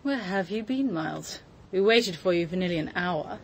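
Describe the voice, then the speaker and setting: An actress playing an exacting, reproachful British hostess in a radio drama, with a pointed, questioning manner. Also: female voice, lowish voice, expressive, moderate pace. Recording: radio drama with faint ambience noise.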